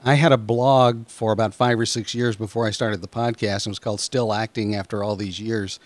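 A middle-aged man hosting a casual interview podcast, talking in a rambling, halting way and often repeating words. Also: lowish voice, steady tone, no echo.

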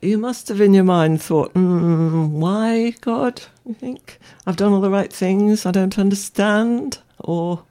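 A woman's voice: mid-range voice, slow, few pauses, dark tone. A woman hosting a podcast, asking questions in a chatty way with frequent short reactions. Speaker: podcast host. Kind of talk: casual interview.

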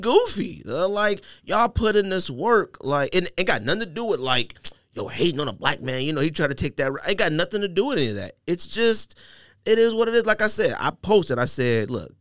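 A man's voice: a little high, moderate pace, no room sound, clean studio sound. A man hosting a podcast in a casual, slangy way, telling stories and acting out bits of dialogue. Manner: slangy, animated storytelling, acts out dialogue. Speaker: podcast host.

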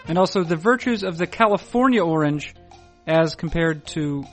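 A man hosting a podcast, talking in a dry, wordy, formal deadpan. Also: on the high side, normal speed, faint music behind. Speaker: podcast host. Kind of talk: deadpan scripted intro and ad read.

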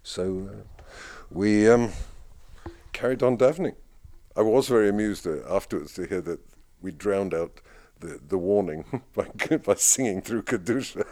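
A man who leads prayers at his community, answering interview questions in a lively, storytelling way with frequent laughs. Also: lowish voice, measured pace, gravelly.

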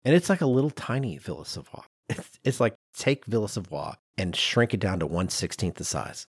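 A man's voice: fairly low pitch, big pitch swings, normal speed, husky, no echo, clean studio sound. A man, telling stories as a podcast co-host in an emphatic, self-deprecating, conversational way. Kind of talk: animated storytelling in casual podcast chat.

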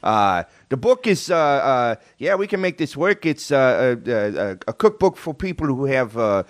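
A man's voice: mid-range voice, sing-song, moderate pace, dry recording, studio quality, natural voice. A man voicing a rambling, eccentric chef with an in an improvised comedy interview, stammering and insistent. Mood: halting, folksy. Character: rambling eccentric Creole chef promoting his allergy cookbook.

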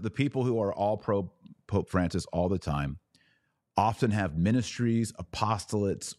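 Deep-voiced man giving opinionated religious commentary. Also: a little mellow, no room sound, studio quality. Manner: emphatic, argumentative, drawn-out stresses on key words. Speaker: podcast host and commentator.

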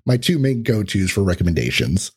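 A man chatting casually as a podcast guest, self-deprecating and casually profane, with a husky, rough-edged voice. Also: low voice, big pitch swings, fairly quick. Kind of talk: casual chat.

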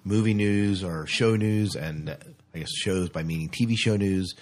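Male podcast host, lowish husky voice, loose and rambling conversational review style. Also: normal speed. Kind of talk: casual film review chat.

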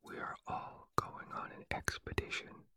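A storyteller acting out a young storybook boy who takes charge kindly. He explains things in a slow, assured whisper with a bright, dry sound. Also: dry recording. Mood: fondly correcting. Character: kindly, confident young boy leading his toys.

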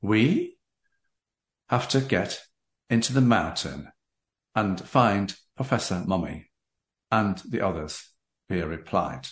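A man hosting a book interview, addressing the audience deliberately and reading aloud. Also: deep voice, lively intonation. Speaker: podcast host. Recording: roomy, echoey sound.

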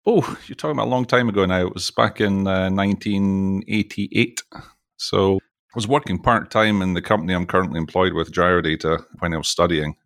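A man's voice: low voice, fairly even intonation, fairly quick, a bit bright, no echo, studio quality. A middle-aged Scottish man chatting as an interview guest, jokey and talkative, often restarting his sentences. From Scotland. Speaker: podcast guest, oil industry executive. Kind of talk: casual interview chat.

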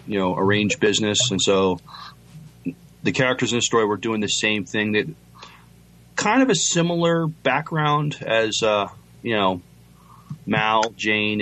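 A man co-hosting a podcast in casual chat, speaking in a hesitant, halting way. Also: fairly low pitch, a bit bright, low-quality recording. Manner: hesitant, halting, repeats words. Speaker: podcast co-host.

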